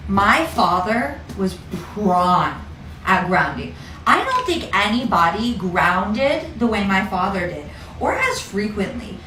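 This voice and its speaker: A woman's voice: mid-range voice, very animated, takes it slowly, bright, forceful tone, a little hiss or hum. A young woman telling a casual personal story in a conversational, self-deprecating way, peppered with "like". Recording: roomy echo, distant from mic.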